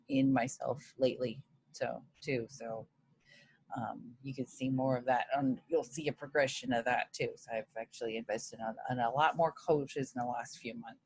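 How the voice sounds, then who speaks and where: A woman's voice: very deep voice, breathy edge, poor audio. A woman from Hawaii, a guest on a video call interview. She speaks in an earnest, reflective way. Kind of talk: casual interview chat.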